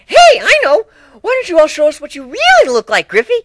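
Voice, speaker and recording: A man voicing a perky, excitable young female cartoon pony with bubbly exclamations in a story reading. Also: very high-pitched, fairly quick, dry recording, clean studio sound, voice pushed up, exaggerated voice. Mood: excited.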